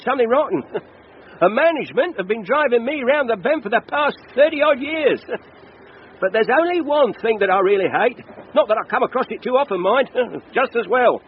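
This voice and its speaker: A man's voice: very high-pitched, lively intonation, normal speed. A man playing a chatty, chuckling veteran British train driver in a radio drama, wry and blunt. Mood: darkly jovial. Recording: dull, noisy radio recording.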